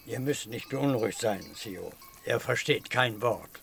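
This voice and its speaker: A man's voice: mid-range voice, unhurried, vocal fry, fairly bright tone, slightly rough, faint music behind, exaggerated voice. An actor playing an old shepherd in a radio crime drama, loyal and deferential to his master. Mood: deferential, reassuring. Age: older. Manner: deferential, obliging. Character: humble, loyal old shepherd serving a mafia boss.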